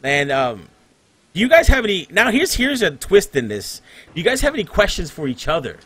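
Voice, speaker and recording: A man from Puerto Rico hosting a casual podcast, excitable and rambling, laughing often between his questions. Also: high-pitched, a little fast, breathy edge. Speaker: podcast host.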